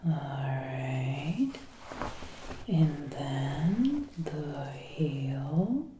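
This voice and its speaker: Lady doing asmr, soft whispers and low gentle talk, step by step, roomy binaural recording with a bit of noise. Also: lively intonation. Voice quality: soft, breathy, often whispered. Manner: slow, gentle, methodical narration of each step. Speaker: ASMR creator. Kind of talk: soft-spoken ASMR personal attention roleplay. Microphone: close binaural microphone, ear to ear.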